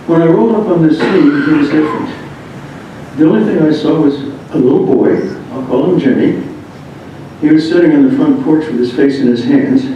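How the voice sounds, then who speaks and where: A man reads a written first-person memoir aloud, halting at times. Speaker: reader of a memoir. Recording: muffled, very echoey room, distant mic, rumbling noise.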